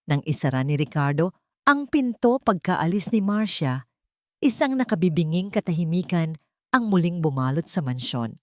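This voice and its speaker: A woman's voice: lowish voice, very animated, normal speed, no room sound, studio quality. A woman with a Filipino accent narrating a sentimental family story in a dramatic, scripted storytelling style.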